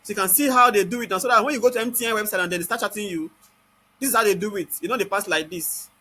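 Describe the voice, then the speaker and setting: Nigerian guy doing a screen tutorial, high sharp voice, keeps asking questions then answering them. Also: rapid-fire. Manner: constantly asks rhetorical questions and answers them. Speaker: software tutorial instructor. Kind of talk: informal step-by-step screen tutorial.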